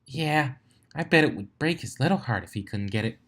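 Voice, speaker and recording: A man's voice: medium pitch, expressive, a little fast, a bit bright. A man voicing a brash, competitive young female pegasus from a cartoon fan story, casual and cheeky.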